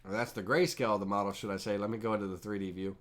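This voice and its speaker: A man hosting a live-streamed software tutorial with a head cold, sounding stuffy and nasal, rambling casually and talking to chat viewers by name. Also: fairly low pitch, fairly even intonation, racing. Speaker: host and instructor.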